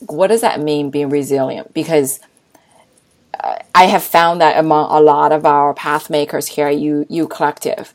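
A woman hosting an interview, asking questions in a measured, encouraging way. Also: low-pitched, darkish tone. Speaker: interviewer host.

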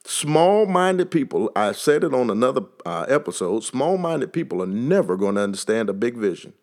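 A man's voice: mid-range voice, very animated, normal speed, darkish tone. A man with a Texas accent hosting a podcast and giving a motivational talk, repeating phrases and building emphasis like a preacher. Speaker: podcast host.

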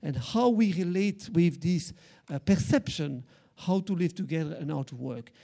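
Gravelly Flemish-accented man, halting speech. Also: fairly high pitch, expressive, mellow, a little hiss or hum. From Belgium. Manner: halting, many fillers and restarts. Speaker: arts center director. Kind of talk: panel discussion remarks.

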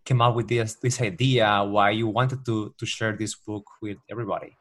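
A man's voice: fairly low pitch, steady tone, moderate pace, fairly bright tone. A man interviewing an author in a casual, encouraging way, with many small agreeing sounds. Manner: encouraging, frequent backchannels.

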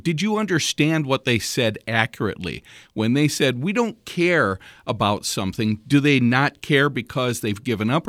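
A man's voice: mid-range voice, fairly bright tone, no echo. Male news editor as radio guest, earnest and explanatory, dry close studio sound. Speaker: executive director and editor of a news organization.

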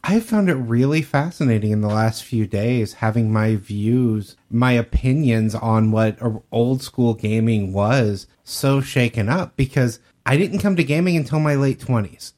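A man's voice: mid-range voice, unhurried, hardly any pauses, a little mellow. A man co-hosting a podcast, talking casually in a discussion. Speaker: podcast co-host.

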